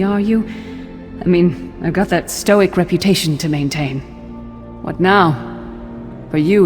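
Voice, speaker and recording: Casting: tough, tired female fighter, fantasy game; lower register, dry wry delivery, music behind. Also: darkish tone. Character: weary, tough, wry female warrior companion. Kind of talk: video game character acting. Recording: long hall reverb, clear music behind.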